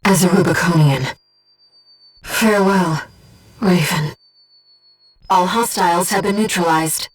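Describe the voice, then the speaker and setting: A woman voicing a young, gentle, bodiless female spirit in a video game, soft-spoken and precise as she guides a mercenary through missions. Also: fairly low pitch, a little slow, pauses often, breathy edge, recorded from a distance, faint music behind. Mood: tender, solemn. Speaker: mission operator companion. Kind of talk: video game voice acting, tactical radio guidance.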